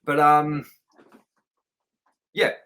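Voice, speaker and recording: A man's voice: on the high side, monotone, drawn-out words, pushed and bright. A man co-hosting a movie review show, chatting informally and bluntly, with some swearing. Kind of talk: casual review chat.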